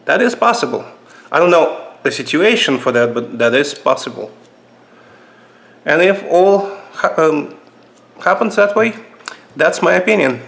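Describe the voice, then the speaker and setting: A man with a Russian accent casually reviewing knives at a table for his channel, halting with many fillers and restarts. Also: on the high side, expressive, vocal fry, fairly bright tone. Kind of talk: casual knife review vlog. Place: indoors at a table.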